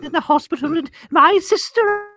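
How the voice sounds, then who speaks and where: A woman playing a fussing, excitable housekeeper in an old-time radio drama. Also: extremely high pitch, normal speed.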